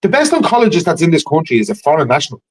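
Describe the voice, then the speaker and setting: A man's voice: fairly high pitch, huge pitch swings, rapid-fire, a crowd in the background. An Irish man in a heated panel debate, blunt and argumentative, swearing and repeating himself for emphasis. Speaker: podcast panellist.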